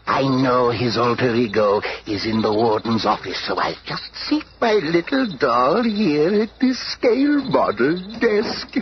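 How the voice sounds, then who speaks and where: An actor playing a sinister, gloating puppeteer villain in a theatrical, drawn-out radio drama manner. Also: male voice, mid-range voice, big pitch swings. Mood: gleeful scheming. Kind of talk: 1970s radio drama acting. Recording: dull, noisy old radio recording.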